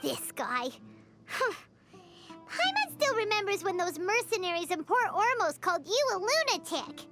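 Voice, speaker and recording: Squeaky, very high-pitched little fairy companion. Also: female voice, very animated, measured pace, over music, full character voice. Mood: grumbling. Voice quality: squeaky, childlike. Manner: perky, speaks of self in third person. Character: small childlike fairy companion, excitable, nervous, cheeky. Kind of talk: video game character acting.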